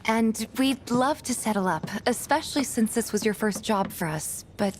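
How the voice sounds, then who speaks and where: An actress playing a friendly, caring young woman in a video game, speaking gently and conversationally with slight hesitations. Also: fairly high pitch, expressive, normal speed, a little hiss or hum, close to an everyday voice. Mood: apologetic, encouraging. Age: young adult. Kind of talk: video game cutscene voice acting. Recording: background music under dialogue. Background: game music.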